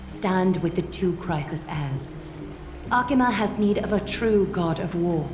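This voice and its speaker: A woman voicing a solemn, authoritative demigod leader in a video game, speaking formally and with measured command. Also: lowish voice, background noise, lightly acted. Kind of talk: English video game voice acting. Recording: echoey, music underneath. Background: game music.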